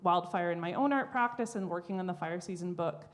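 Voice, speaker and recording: A woman's voice: lowish voice, a little fast. A woman artist speaking as a guest at a live panel, thoughtful and searching for her words as she reflects. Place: public library event room.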